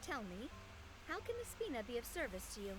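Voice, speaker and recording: A spirited, lively young leader. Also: female voice, fairly high pitch, background noise. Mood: cheerful. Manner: lively, quick to indignation, playful. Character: spirited, warm-hearted young woman leader.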